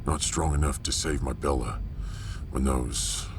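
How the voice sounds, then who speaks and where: A man playing a gruff, proud middle-aged blacksmith with a blunt, weighty manner in a sci-fi audio drama. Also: extremely low pitch, unhurried, noisy background, full character voice. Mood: grieving.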